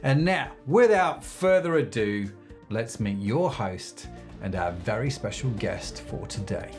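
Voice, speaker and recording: A man's voice: mid-range voice, expressive, a little slow. A man reading a scripted podcast promo in an upbeat, inviting manner. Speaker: podcast announcer. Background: soft guitar music.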